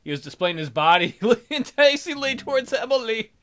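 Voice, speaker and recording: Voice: mid-range voice, very animated, normal speed, fairly bright tone, slight background noise. A game player reading a story aloud as narrator and breaking off for wry, teasing commentary. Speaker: let's play host.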